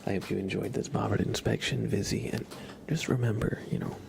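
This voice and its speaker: A man hosting an ASMR livestream, speaking in soft whispers with a gentle, playful manner. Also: lowish voice, steady tone, brisk, gravelly, background noise. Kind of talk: ASMR, whispered roleplay and chat with viewers.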